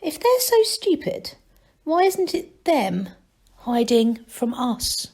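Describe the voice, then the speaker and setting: A woman's voice: sits high, sing-song, slow, a little mellow, voice pushed up, full character voice. A woman voicing a curious, earnest male gnome in a storybook, questioning and a little tentative.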